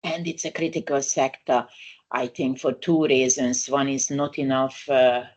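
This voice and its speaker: A woman's voice: a bass voice, steady tone, a little mellow. Older Hungarian-accented woman presenting. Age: 60s. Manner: unhurried, frequent "uh" fillers, non-native grammar. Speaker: migration lawyer presenting a webinar. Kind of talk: webinar presentation.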